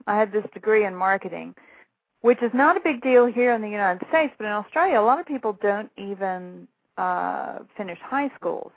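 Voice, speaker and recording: A woman's voice: mid-range voice, no room sound. A middle-aged woman from Louisiana with a Southern accent, telling stories from her career as a guest, rambling and self-deprecating. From Shreveport, Louisiana. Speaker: author and guest. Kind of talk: phone interview, storytelling. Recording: tinny phone line.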